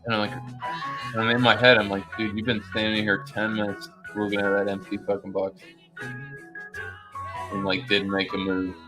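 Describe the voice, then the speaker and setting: A man's voice: fairly low pitch, level tone, normal speed, long pauses, poor audio. A man chatting casually in a blunt, profane, ranting way. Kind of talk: casual chat. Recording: music playing behind the voice. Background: music.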